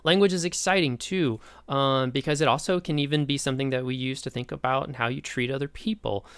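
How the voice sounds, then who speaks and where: Gravelly-voiced man lecturing with enthusiasm. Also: medium pitch, brisk, fairly bright tone, no echo, studio quality. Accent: Midwestern American. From East Tennessee, Appalachia. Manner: enthusiastic, chatty, asks the listener questions. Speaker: sociology lecturer. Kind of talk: recorded online lecture.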